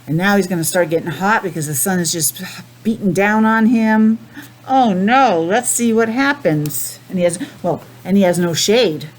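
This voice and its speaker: A woman's voice: medium pitch, normal speed, poor audio. A woman with a mid-range voice reads aloud as a teacher of small children. She is patient, breaking off to ask questions and explain things in simple words. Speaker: preschool teacher.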